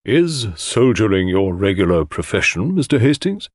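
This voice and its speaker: A man's voice: sing-song, moderate pace, no echo, clean studio sound. A man voicing an attentive husband in an audiobook, with unctuous, painstakingly polite speech. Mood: fawning. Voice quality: deep, unctuous.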